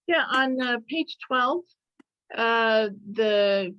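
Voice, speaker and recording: A woman giving casual notes on a video call, halting as she searches for words. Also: a little high, expressive, clean tone. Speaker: participant giving script notes. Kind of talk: casual, unscripted critique. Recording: dull, lo-fi video call audio.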